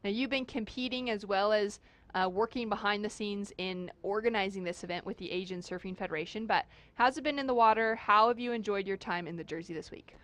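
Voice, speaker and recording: A woman's voice: mid-range voice, a little fast, a bit bright. A woman commentating a surfing contest live, with upbeat and fluent play-by-play. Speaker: surf commentator.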